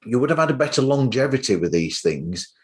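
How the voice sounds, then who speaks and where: Male podcast host, mid-pitched voice, casual, rambling, anecdotal conversation. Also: very animated, a little fast.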